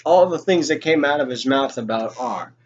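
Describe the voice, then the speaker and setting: A man's voice: mid-range voice, animated, normal speed, lo-fi sound. A young man telling a personal anecdote in an informal, sweary, exasperated way, acting out what people said. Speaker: storyteller. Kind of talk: animated personal anecdote with acted-out quotes.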